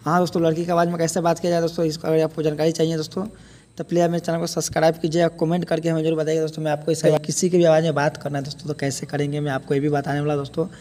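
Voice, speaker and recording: Male tutorial host, rapid and highish delivery, informal and repetitive, echoey reverberant recording. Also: completely flat delivery, warm tone. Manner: repetitive, addresses viewers as friends constantly. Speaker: host of a tech tutorial channel. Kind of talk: informal step-by-step app tutorial. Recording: echoey hall-like room, faint noise.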